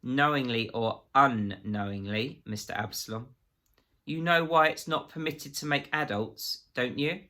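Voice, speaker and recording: A man's voice: medium pitch, measured pace, sharp tone, pitched above the performer's own voice. A man playing a prissy, menacing government agent in a story, officious, pompous and precise. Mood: cold officious menace.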